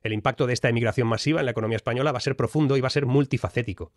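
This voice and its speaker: A man's voice: mid-range voice, racing, no room sound, clean studio sound. A man from Spain, delivering fluent, emphatic analytical commentary. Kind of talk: explanatory commentary.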